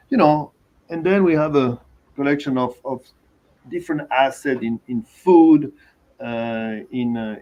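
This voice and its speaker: A man from Venezuela with a Venezuelan Spanish accent, introducing himself as an investor on a panel, measured and hesitant. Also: medium pitch, a little mellow, lo-fi sound.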